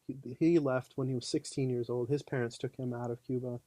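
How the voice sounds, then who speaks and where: A man's voice: medium pitch, flat delivery, brisk, mellow, no echo. A man with a mid-range voice talks as a guest on a podcast, easygoing and chatty, with lots of "you know." The recording is dry and close-miked. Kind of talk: casual conversation.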